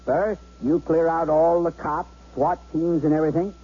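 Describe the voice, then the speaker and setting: A man's voice: on the high side, steady tone, moderate pace, mellow, breathy edge. An actor playing a cocky, volatile bank robber in a radio drama, with sarcastic, bossy, slangy tough-guy talk. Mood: demanding. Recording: dull, noisy 1970s radio broadcast.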